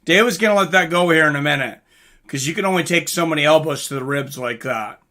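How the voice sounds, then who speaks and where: Male livestream fight commentator, slightly high and very bright voice, informal and conversational. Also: fast talker. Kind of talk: casual live fight commentary with chat asides.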